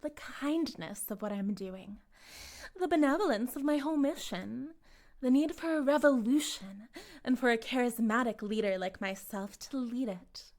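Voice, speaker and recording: A woman's voice: fairly high pitch, normal speed, darkish tone, close to an everyday voice. A woman playing a charismatic, smugly menacing cult leader in a dramatic audio roleplay, speaking in a pompous, articulate and condescendingly persuasive way. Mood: smug condescension.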